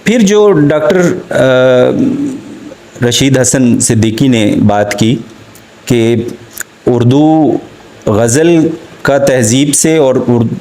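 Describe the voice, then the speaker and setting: A man lectures on literature in a Pakistani accent. His voice sits at a medium pitch and moves slowly. He is formal and deliberate, often halting mid-sentence before carrying on. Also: lively intonation, warm tone, poor audio. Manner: halting, deliberate, with frequent hesitations and pauses. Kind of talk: formal academic lecture with poetry quotations.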